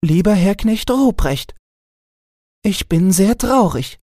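A man's voice: sits high, expressive, slow pace, darkish tone, dry recording, studio quality, pitched above the performer's own voice, full character voice. A man voicing a headstrong, indignant little girl with an emphatic, determined manner in a storybook reading. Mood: sad.

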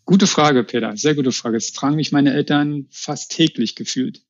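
Man, creaky husky voice, casual interview guest, muffled lo-fi sound. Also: a little high, moderate pace, no room sound. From Berlin area, Germany. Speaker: interview guest, customer experience manager. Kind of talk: casual conversational interview answers.

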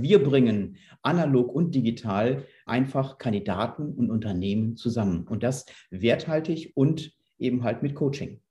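A man's voice: medium pitch, warm tone, reverberant. A man answering as a podcast guest in a conversational interview style. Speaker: podcast guest, managing director.

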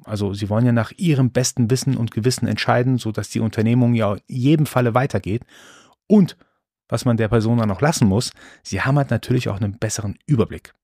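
Gravelly man chatting casually. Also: medium pitch, fast, a little mellow, no echo, clean studio sound. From Iran. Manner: chatty, rambling with restarts and fillers. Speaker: podcast host. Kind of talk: casual solo podcast monologue.

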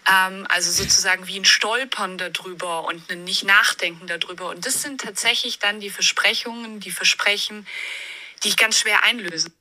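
A woman's voice: mid-range voice, steady tone, moderate pace, bright, forceful tone. A woman leaving a spontaneous voice message, reflecting and thinking aloud. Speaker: listener or contributor sending a voice message.

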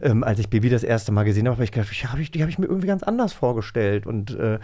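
A man's voice: medium pitch, rapid-fire, dark tone. A man chatting informally and enthusiastically as co-host of a podcast. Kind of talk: casual podcast chat.

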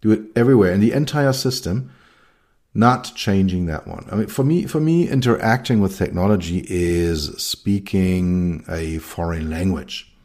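A middle-aged man with a German accent talks through his ideas on his own. His voice sits very low and sounds mellow. He pauses, repeats words and fills gaps with ums. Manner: thoughtful, with many fillers and restarts. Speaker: consultant and keynote speaker. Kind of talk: solo talk on design.

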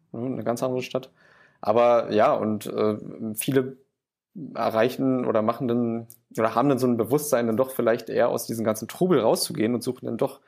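Relaxed, rambling German man. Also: mid-range voice, gravelly. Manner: relaxed, rambling, frequent fillers. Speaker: podcast guest, hotel owner. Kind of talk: casual interview chat.

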